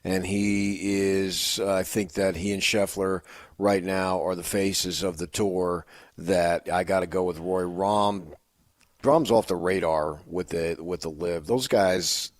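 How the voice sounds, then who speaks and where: Low-voiced American man talking sports. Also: steady tone, slow pace, no room sound, studio quality. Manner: rambling, self-correcting. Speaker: sports radio co-host. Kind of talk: off-the-cuff sports opinion chat. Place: radio studio.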